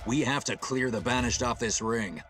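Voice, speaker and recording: An actor playing a resolute military ship captain in a video game, briefing troops with firm authority. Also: male voice, medium pitch, normal speed, fairly bright tone, soft background music, natural voice. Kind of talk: video game cutscene acting.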